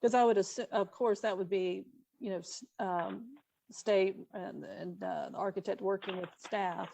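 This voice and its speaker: A woman with a mid-range voice chairs a public meeting in an informal way. She speaks tentatively, in halting phrases, seeking consensus. Faint noise sits on the online meeting audio. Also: medium pitch, gravelly, darkish tone. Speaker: commission chair.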